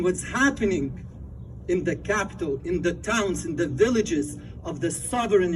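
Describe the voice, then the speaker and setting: A man's voice: high voice, distant mic, noisy background. Fervent Lithuanian-accented man at a rally. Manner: fervent, emphatic phrasing with rallying cries. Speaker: rally speaker. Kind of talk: impassioned outdoor rally speech. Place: outdoor rally.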